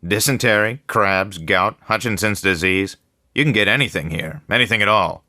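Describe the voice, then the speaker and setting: A man's voice: on the low side, normal speed, bright, forceful tone, dry recording, clean studio sound, pitched above the performer's own voice. A man voicing a scruffy, cynical male reporter with a wry, sardonic way of talking.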